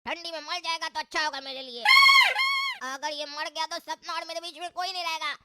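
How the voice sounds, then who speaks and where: A woman voicing a scheming cartoon man who mutters quietly to himself. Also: very high voice, steady tone, fairly quick. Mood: muttering. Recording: noisy recording with clicks.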